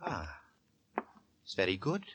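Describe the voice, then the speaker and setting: An actor playing a weary, secretive, quietly menacing gentleman lodger in a formal, halting, repetitive manner, in 1940s radio horror style. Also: low voice, wildly swooping pitch, breathy edge, full character voice. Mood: pleased, intense. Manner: formal, halting, repeats his words. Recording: vintage 1940s radio broadcast recording.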